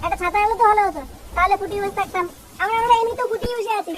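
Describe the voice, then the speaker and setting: A woman voicing a crude, excitable village man in a comedy cartoon, slangy and blustering, with a sped-up cartoon sound. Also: very high-pitched, racing, recorded from a distance, very noisy. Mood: complaining. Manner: slangy, foul-mouthed, blustering.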